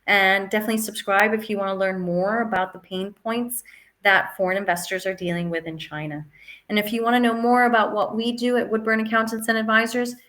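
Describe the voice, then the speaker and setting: A woman's voice: medium pitch, fairly bright tone. A woman hosting an advice video as a business advisor, speaking to viewers in an instructive, emphatic way. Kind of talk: scripted-style advisory talk to camera.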